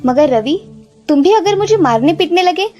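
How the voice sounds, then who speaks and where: A woman's voice: very high-pitched, animated, normal speed, dark tone, lo-fi sound, faint music behind. A woman playing a confident, outspoken young daughter-in-law in a cartoon story, speaking firmly. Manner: firm, outspoken.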